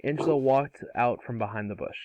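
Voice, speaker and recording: A man narrating a script aloud in an amateur table read, with a halting, stumbling delivery. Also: medium pitch, no room sound, dull audio.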